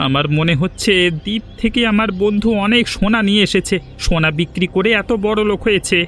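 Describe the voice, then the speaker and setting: A man's voice: high voice, fairly quick, a bit bright, slight background noise. A man voicing a greedy, bossy, calculating village bandit in a cartoon. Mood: scheming. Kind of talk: cartoon voice acting. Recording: music behind the voice.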